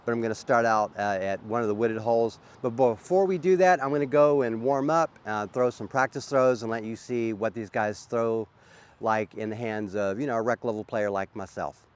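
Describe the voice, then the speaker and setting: A man's voice: mid-range voice, moderate pace, clean tone. A man with a sore throat from a cold, chatting casually as host of a disc golf vlog on an outdoor course. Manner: easygoing, chatty, frequent uhs.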